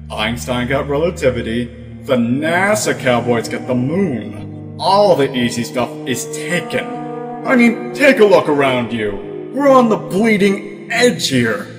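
A man playing an excitable, optimistic lab scientist in a comic fan dub, loud, emphatic and enthusiastic. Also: medium pitch. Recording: echoey voice under loud music.

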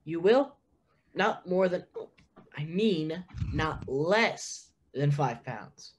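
A man's voice: on the high side, expressive, drawn-out words, slight background noise. A young man playing a naive, earnest farm boy in a radio play over a video call.

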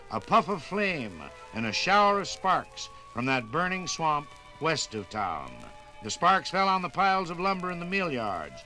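Dramatic high-voiced American radio narrator man. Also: sharp tone, rough recording. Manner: dramatic, deliberate storytelling. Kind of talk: 1950s radio documentary scripted narration. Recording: vintage 1950s radio LP. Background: dramatic music.

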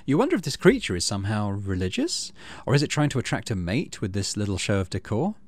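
A man hosting a gaming channel, low-key, casually commenting on play and reading story text aloud. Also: big pitch swings, quick pace.

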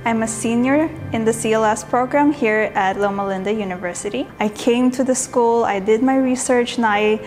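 A woman's voice: a little high, moderate pace, hardly any pauses, darkish tone, soft background music. A young woman answering interview questions as a student in a campus stairwell, sincere and reflective. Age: young adult. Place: stairwell of a campus building, indoors. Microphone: lapel.

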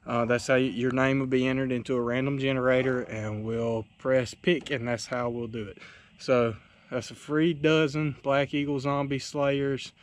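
A man's voice: medium pitch, flat delivery, moderate pace. A young man, rambling casually to his viewers with many fillers, recorded outdoors on a camera held at arm's length. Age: young adult. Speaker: outdoors channel host. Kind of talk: casual vlog outro. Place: outdoors in a dirt field by trees.

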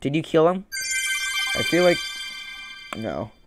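A man's voice: on the high side, very animated, takes it slowly. A young man giving casual let's-play commentary, muttering and repeating his phrases. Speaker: gaming video host. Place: small room indoors. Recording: loud game audio over voice. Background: loud game music.

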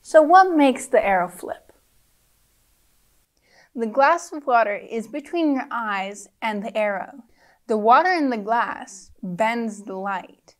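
A young adult woman presenting a children's science lesson, reading scripted simple English deliberately and carefully, with pauses between phrases. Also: on the high side, animated.

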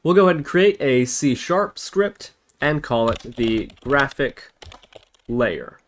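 A man hosting a software tutorial, explaining methodically, step by step. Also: mid-range voice, animated, slow pace. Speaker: tutorial host. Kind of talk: scripted-feeling coding tutorial narration. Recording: noisy, with keyboard typing and clicks. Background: computer keyboard typing and mouse clicks.

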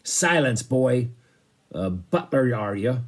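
A man voicing a gruff, blustering old sea captain in a storybook, roaring out salty orders. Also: medium pitch, very animated, slow, voice pushed up. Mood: gruff, angry. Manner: roaring, bullying, salty exclamations.

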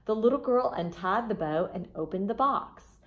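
A woman's voice: medium pitch, animated, moderate pace. Woman reading a picture book aloud. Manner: clear teacherly storytelling with simple asides. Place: front room of a house, indoors.

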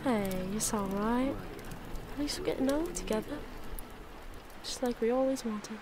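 A woman playing a warm, wry former investigator turned librarian in a full-cast audio drama. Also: on the high side, moderate pace, crowd noise, close to an everyday voice. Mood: caring.

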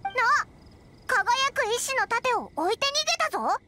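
A woman voicing a tiny childlike fairy companion in an anime game, blunt and exclamatory, with a squeaky voice. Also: very animated, normal speed, very noisy. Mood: alarmed.

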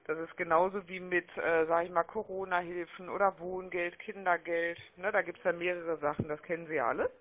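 A woman making a phone sales pitch, chatty and persuasive, often checking for agreement, heard over a telephone line. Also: steady tone, a little fast, hardly any pauses. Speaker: telemarketing caller. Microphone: telephone call.

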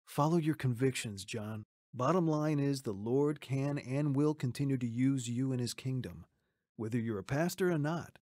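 A man playing a kindly, encouraging pastor in a radio drama, gently giving guidance. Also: mid-range voice, moderate pace, dry recording, studio quality, close to an everyday voice. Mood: gentle, reassuring. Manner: gentle, instructive.

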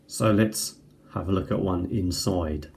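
A middle-aged man talks through a casual hands-on review in a fairly low voice. He is unhurried and rambles as he goes. It is a home recording on a tablet, with slight noise and small clicks behind him. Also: darkish tone. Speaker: amateur cassette tape reviewer. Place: indoors at a kitchen table. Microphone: tablet's built-in mic at close range.

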